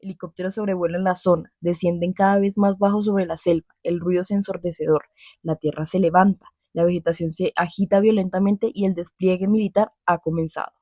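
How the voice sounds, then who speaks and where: A woman acts as a female war correspondent with a mellow, darker voice. She describes the combat zone gravely in scripted radio-drama reporting. The sound is dry and close. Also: lowish voice, fairly even intonation, a little fast, no room sound, natural voice. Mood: grave, urgent.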